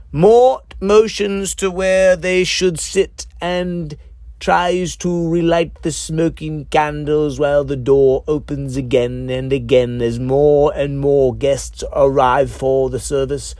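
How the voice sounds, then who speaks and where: Male audiobook narrator for dark comedy: slightly high pitch, slow dramatic delivery, deliberate phrasing, dry sound. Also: steady tone, clear, resonant tone, dry recording. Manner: deliberate, dramatic storytelling with measured pauses. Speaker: author reading own script.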